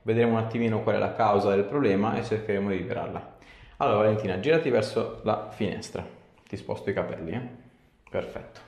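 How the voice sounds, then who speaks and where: A man's voice: lowish voice, fast talker, darkish tone. A man in his thirties, a chiropractor giving short, informal instructions and questions during an exam. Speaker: chiropractor examining a patient. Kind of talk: casual clinical exam chat with instructions. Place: small indoor clinic room. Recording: echoey small treatment room.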